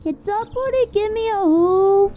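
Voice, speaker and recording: A woman voicing a cheerful, scatterbrained female pegasus mail carrier in a squeaky, goofy cartoon voice for a storybook reading, with music behind. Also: moderate pace, warm tone, dull audio. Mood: relieved, friendly.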